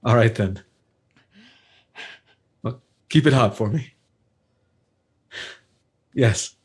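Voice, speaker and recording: Male actor as hesitant everyman witness, old-time radio thriller. Unhurried, husky, low-key. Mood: halting, reassuring. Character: hesitant working man witness, gentle with a frightened woman. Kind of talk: 1950s radio drama acting.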